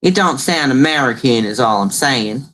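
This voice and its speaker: A man's voice: mid-range voice, expressive, moderate pace, a bit bright, lightly acted. Swooping, bumbling folksy farmer played by a man. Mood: stubborn, indignant. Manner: hesitant, slips between formal and folksy talk. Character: bumbling poor male farmer, stubborn and folksy. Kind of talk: mock old radio play acting.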